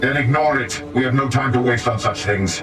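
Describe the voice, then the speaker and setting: An actor playing a steadfast space marine sergeant, giving authoritative, clipped military orders in a video game. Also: male voice, medium pitch, fairly quick, far from the mic, music in the background, close to an everyday voice. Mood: stern.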